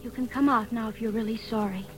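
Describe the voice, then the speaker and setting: A woman voicing an eerie, cold child in a 1940s radio drama, hushed and slow. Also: on the high side, fairly even intonation, close to an everyday voice. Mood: eerie calm.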